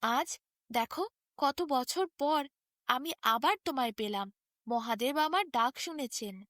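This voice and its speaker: A woman's voice: high voice, expressive, slow pace, fairly bright tone, dry recording, clean studio sound, exaggerated voice. A woman voicing a devout, emotional young mother pleading with her long-lost husband in a fairy tale. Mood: tearful, earnest.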